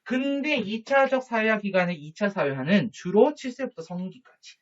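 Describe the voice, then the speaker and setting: A man teaching a high school social studies lesson, chatty, with jokey asides and quick questions to his students. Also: animated. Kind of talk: online lecture to students. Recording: dull lo-fi recording.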